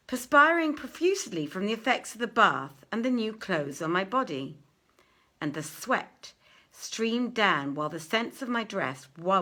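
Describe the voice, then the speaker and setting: A woman reading an old-fashioned tale aloud as a storyteller, expressively, with deliberate phrasing and dramatic pauses. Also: fairly low pitch, bright, forceful tone.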